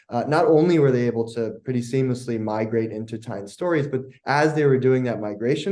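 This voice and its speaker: Man presenting, mellow soft-edged voice. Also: mid-range voice. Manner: explanatory, conversational. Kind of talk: product case-study presentation.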